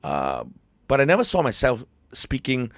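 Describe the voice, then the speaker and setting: A man's voice: mid-range voice, huge pitch swings, moderate pace, no echo. Earnest Malaysian man, dry studio sound. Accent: Malaysian English. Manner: earnest, heartfelt. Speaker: voice actor guest. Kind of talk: casual interview talk.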